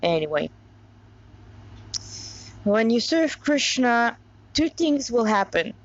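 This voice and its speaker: A woman with a somewhat high-pitched voice narrates in a homemade, informal style. She rambles and digresses, slipping between reading and chatty explanation. The sound is a little dull and lo-fi. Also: big pitch swings, slow pace. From Montreal, Canada. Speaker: narrator reading a story aloud with commentary. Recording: dull lo-fi recording.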